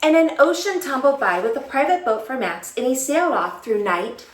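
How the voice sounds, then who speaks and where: A woman reading a children's picture book aloud as a storytime teacher, with expressive, dramatic delivery and drawn-out words. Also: sits high. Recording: roomy echo, distant mic, faint noise.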